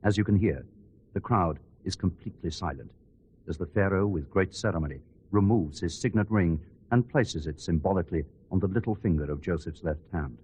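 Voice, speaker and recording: Man acting as low-voiced solemn ceremonial commentator. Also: darkish tone, rough recording. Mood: solemn, measured. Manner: measured, descriptive, reverent. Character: solemn court reporter commentating on a royal ceremony. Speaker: outside-broadcast commentator. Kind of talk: hushed ceremonial live commentary, scripted. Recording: clear background noise and ambience.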